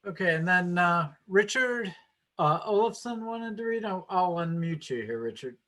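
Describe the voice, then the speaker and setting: A man with a voice a little on the high side hosts an online gathering. He speaks informally and haltingly, as if organising as he goes. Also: lively intonation, pushed and bright. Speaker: host. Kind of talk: informal, halting event hosting. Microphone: video call.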